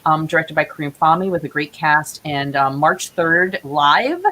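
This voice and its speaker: A woman's voice: low voice, moderate pace, sharp tone. A woman chatting as an interview guest, explaining creative projects in an earnest, casual way. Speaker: guest, playwright. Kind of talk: casual interview chat about own projects. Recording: clear background hiss.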